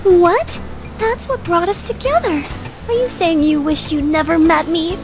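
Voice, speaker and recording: A woman's voice: very high-pitched, unhurried, music in the background. A woman playing a confident, playful young female engineer in a voiced visual novel game. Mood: teasing. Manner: playful, self-assured.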